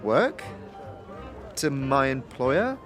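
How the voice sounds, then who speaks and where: A man's voice: medium pitch, big pitch swings, very slow, soft background music. A man voicing a chatty, awkward female clerk in an audio drama, hesitant and polite. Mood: awkward, friendly.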